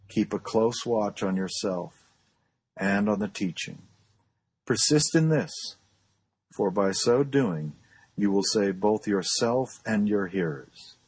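A man with a fairly low, husky voice delivers a formal sermon from a text. His pace is slow and measured, and his oratory is solemn and earnest. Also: a little mellow. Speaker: pastor reading a historic sermon. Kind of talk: solemn read-aloud sermon.

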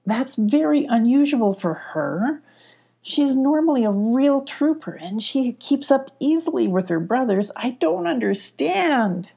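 A woman's voice: high voice, lively intonation, measured pace, vocal fry, warm tone, breathy edge, voice pushed up, full character voice. A woman voicing an earnest, worried young female storybook creature with an emphatic, puzzled manner.

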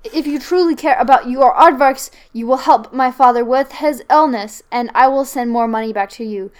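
A woman's voice: sits high, normal speed. A woman co-hosting a podcast, reading a joke email aloud and giggling through it. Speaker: podcast co-host.

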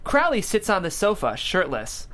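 A woman's voice: medium pitch, wildly swooping pitch, normal speed, fairly bright tone. A woman reading scripted stage directions as narrator at a comedy table read.

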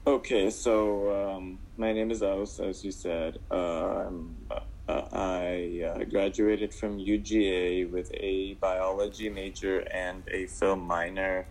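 A man's voice: sits low, level tone, slow, darkish tone, a little hiss or hum, low-quality recording. A young man from Syria answering interview questions casually, with many fillers and restarts. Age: 20s. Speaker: guest.

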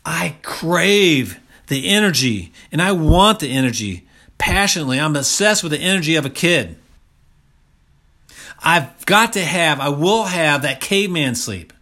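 Guy around 60 doing motivational coaching talk, punchy bright voice, a bit higher pitched, really stresses and repeats stuff. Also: lively intonation, measured pace. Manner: emphatic, repeats key phrases for stress. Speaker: health coach and motivational speaker.

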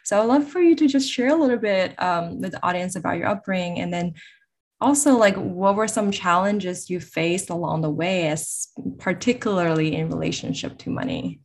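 A woman hosting a podcast interview, asking her questions gently and haltingly with many fillers. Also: fairly low pitch. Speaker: podcast host.

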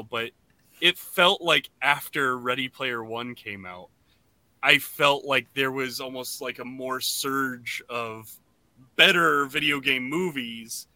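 A man hosting a casual podcast discussion, talking in a halting way and often restarting his phrases. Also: medium pitch, sharp tone, no echo. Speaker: podcast host.

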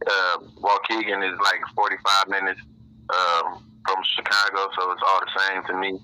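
A man's voice: low-pitched, level tone, normal speed, bright, forceful tone, clear, resonant tone, rough recording. A man from Illinois, a rapper being interviewed, talking casually in loose street slang. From Illinois, USA. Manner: laid-back street slang, laughs often. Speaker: rapper, guest.

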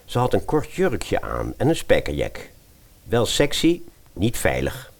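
A man narrating a scripted story in an expressive storytelling style. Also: on the low side, unhurried.

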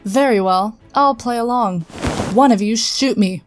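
A woman's voice: on the high side, unhurried, music in the background, lightly acted. A woman playing a confident, powerful psychic agent in a sci-fi audio drama, direct and matter-of-fact. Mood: cool, confident.

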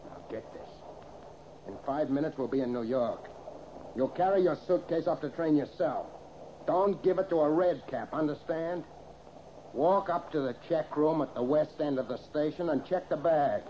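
A man's voice: a little high, normal speed, slight background noise, lightly acted. Man acting a menacing, slangy gangster, muffled old radio. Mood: menacing, commanding. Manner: curt, threatening, slangy tough-guy talk. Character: menacing, gruff bank robber with a gun. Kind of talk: 1940s radio crime acting.

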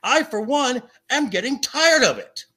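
A man in a heated podcast debate, speaking emphatically and indignantly and often stammering over repeated words, with a rough edge to his voice. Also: extremely high pitch, animated, unhurried, pushed and bright. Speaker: podcast guest. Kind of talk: heated debate about comic adaptations.

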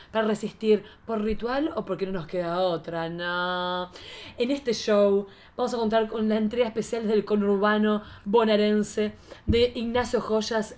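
A woman with an Argentine Spanish accent hosting a podcast as a drag queen, chatting playfully and theatrically with lots of exclamations. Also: medium pitch. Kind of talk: playful casual podcast chat.

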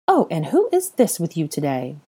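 A woman's voice: deep voice, wildly swooping pitch, moderate pace, husky, heavily acted. A woman voicing a cheerful, eager man with an effusive, fawning manner in an audiobook story. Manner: bright, effusive greeting.

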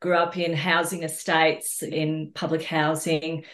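A woman's voice: deep voice, level tone, a little slow, fairly bright tone. A middle-aged Australian woman talking casually as a guest in a podcast interview. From Australia, New South Wales. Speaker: podcast guest, social worker. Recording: roomy, echoing sound.

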